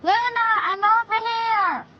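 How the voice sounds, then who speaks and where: A woman's voice: extremely high pitch, takes it slowly, muffled recording, noisy background. A woman voicing a cheerful, cheeky four-year-old boy in an audio drama. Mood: chirpy, cheeky. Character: cheerful little boy, four, cheeky and chatty hospital patient.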